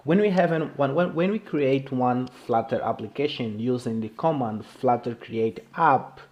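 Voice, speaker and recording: A man with a non-native English accent giving an informal technical tutorial in a halting, repetitive way, often checking in with a short question. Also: mid-range voice, darkish tone. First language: not English. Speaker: programming video creator.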